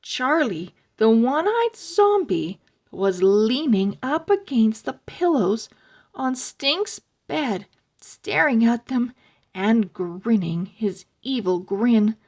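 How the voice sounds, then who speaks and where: A woman reading a children's book aloud in an expressive, deliberate storytelling manner. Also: a little high. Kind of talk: read-aloud storytelling for kids.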